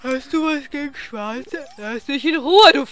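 A woman's voice: sits high, a little slow, fairly bright tone. A young woman doing casual, playful let's play commentary, bantering in a silly, giggly way. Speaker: let's player. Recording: game music behind the voice. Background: video game music.

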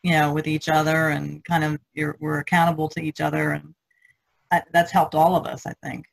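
A woman's voice: sits low, little pitch movement, a little fast, creaky, poor audio. An older woman chatting casually as a participant in an artists' group on an online call. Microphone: online video conference call.

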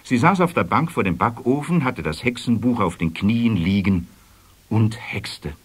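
A man with a fairly deep voice narrates a children's story. He takes his time, and his intonation rises and falls in lively, deliberate emphasis. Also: slightly rough. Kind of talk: expressive children's fairy tale narration.

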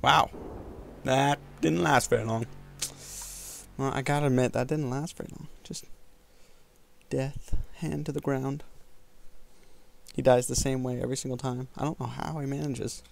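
A man's voice: mid-range voice, fairly even intonation, normal speed, creaky, long pauses. A young American man giving casual, slangy gameplay commentary, exclaiming and muttering to himself as he plays. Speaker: gamer recording a playthrough. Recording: gunfire and game noise behind voice. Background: video game gunfire and explosions.

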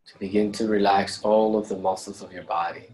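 A man leading a guided meditation, giving calm, unhurried instructions with long pauses between phrases. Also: fairly low pitch, poor audio. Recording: clear steady background noise.

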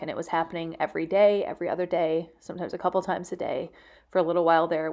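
A woman in her thirties, a homemaking vlogger, answering questions casually in a candid, reflective, explanatory way. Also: lowish voice.

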